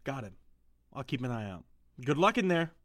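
A man's voice: medium pitch, big pitch swings, moderate pace, background noise, close to an everyday voice. A man playing a loyal, casual sidekick in a sci-fi audio drama. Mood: wary, supportive. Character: casual, loyal male sidekick and getaway driver.

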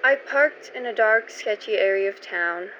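A woman's voice: mid-range voice, expressive, moderate pace, pushed and bright, dull audio, a little hiss or hum. A woman hosting and narrating a scripted first-person radio theater piece in a theatrical, suspenseful manner. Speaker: host and narrator.

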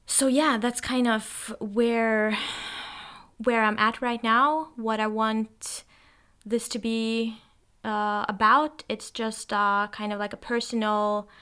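A young Norwegian woman, tired, talking in a hesitant, reflective way, with many pauses and fillers. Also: on the high side, fairly even intonation, a bit bright, smooth. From Norway. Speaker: podcast host. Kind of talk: casual solo monologue. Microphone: testing a new microphone.

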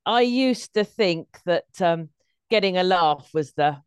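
Low, clear-voiced woman. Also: expressive, measured pace, no echo, studio quality. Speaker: guest, stage performer. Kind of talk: conversational interview, anecdotes.

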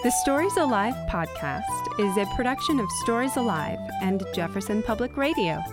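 A woman's voice: fairly high pitch, sing-song, moderate pace. A woman reading scripted production credits for a children's audio show. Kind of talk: scripted narration. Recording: loud music and jingle behind.

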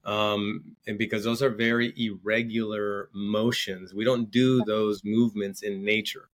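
A man's voice: on the low side, level tone, a little slow. A middle-aged man, a guest on an interview podcast, talking earnestly in casual chat and often repeating words as he starts his sentences.

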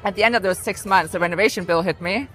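A woman's voice: medium pitch, fast, fairly bright tone, rough recording. A woman from Denmark with a Danish accent, telling her story reflectively as an interview guest. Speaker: podcast guest, entrepreneur.

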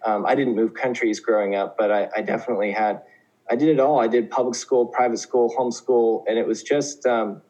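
A man's voice: medium pitch, fairly even intonation, fairly quick, darkish tone, poor audio. A man in his thirties from Oklahoma, a teacher, talking casually as a guest in an interview, with many fillers and restarts. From Oklahoma, USA. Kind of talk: conversational interview.

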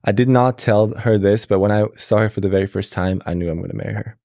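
A young man from Switzerland, a podcast guest, telling his story in a relaxed, halting way with many fillers. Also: fairly low pitch, no echo, studio quality. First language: Swiss German. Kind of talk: casual interview storytelling.

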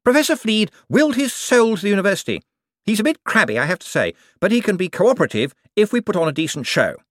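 A man doing a jittery, high-pitched wizard. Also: very animated, no echo, clean studio sound. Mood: flustered. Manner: flustered, hurried, over-explaining. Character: nervous, evasive male wizard bureaucrat, middle-aged. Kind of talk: audiobook character voice.

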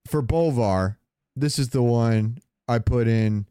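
A man's voice: mid-range voice, slow, smooth, dry recording, studio quality. A man hosting a podcast in casual fan chat, talking freely and profanely. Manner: profane, animated retelling with quoted lines. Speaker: podcast host.

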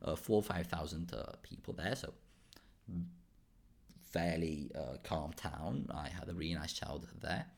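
Guy from southern spain doing his own podcast, deep low voice, stops and restarts a lot, keeps saying right?. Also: vocal fry. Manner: halting, many restarts and tag questions like "right?". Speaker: podcast host. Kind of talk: casual solo podcast monologue.

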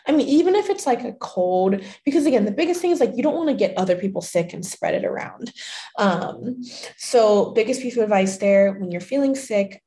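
A young woman hosting a fitness podcast alone, chatty and full of fillers, her voice slightly hoarse from being sick. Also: mid-range voice, mellow. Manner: chatty, many fillers, laughs often. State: slightly hoarse from a recent illness. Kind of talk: casual solo podcast talk.

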